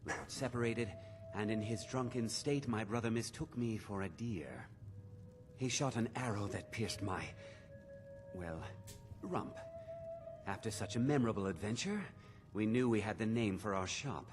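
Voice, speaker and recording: A man's voice: fairly low pitch, moderate pace, pauses often, close to an everyday voice. A man playing a genial, wry shopkeeper who sells hunting gear in a fantasy video game, telling things with courteous good humor. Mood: wry, rueful. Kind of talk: scripted video game dialogue. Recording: game music behind the voice.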